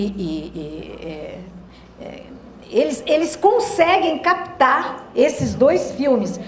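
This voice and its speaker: A woman's voice: high voice, slight background noise. Brazilian woman lecturing students, bouncy up-and-down voice, stops and restarts a lot, echoey hall. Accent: Brazilian Portuguese. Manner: thoughtful, hesitant restarts, rhetorical questions to the audience. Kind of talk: university lecture.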